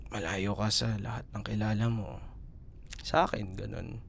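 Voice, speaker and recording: A man with a Filipino accent playing an affectionate, teasing young college boyfriend in an intimate, softly hesitant ASMR roleplay. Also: lowish voice, steady tone, normal speed, vocal fry, a little hiss or hum. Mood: gentle, wistful concern.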